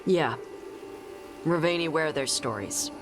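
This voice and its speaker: A man's voice: a little high, takes it slowly, vocal fry, background noise. An actor, a man, playing a blunt young fantasy warrior in a video game, terse and casual in delivery. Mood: subdued. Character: blunt, gruff young dragon-blooded Qunari warrior, exasperated.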